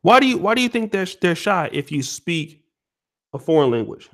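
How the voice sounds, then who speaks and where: Lively-voiced male host asking blunt questions. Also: high-pitched, normal speed. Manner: casual, probing, blunt questions. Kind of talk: casual interview questions.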